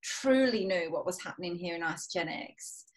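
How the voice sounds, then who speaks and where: A woman's voice: on the low side, lively intonation, normal speed. A woman hosting a team call, giving an earnest, encouraging motivational talk.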